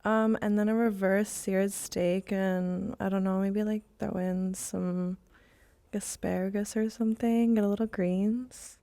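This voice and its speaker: A woman's voice: medium pitch, fairly even intonation, a little slow, dark tone. A woman in her twenties from Wisconsin, a contestant answering in a chatty way, full of fillers. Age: 20s. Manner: chatty, lots of "like". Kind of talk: casual game show answers.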